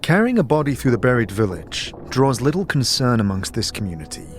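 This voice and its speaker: A man narrating a dark fantasy audio drama in the second person, with measured, solemn, dramatic storytelling. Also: medium pitch, sing-song. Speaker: narrator and game master. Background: music.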